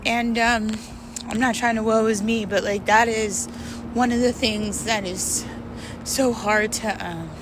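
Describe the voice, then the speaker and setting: A woman talking in a casual, confessional monologue, candid and halting, repeating words for emphasis. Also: a little high, fairly even intonation, background noise. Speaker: vlogger.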